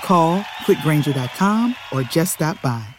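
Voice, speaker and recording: A commercial announcer narrates a scripted advertisement in a middle-pitched voice. The read is prepared narration, told like a short story with a tagline. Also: expressive, unhurried, faint music behind.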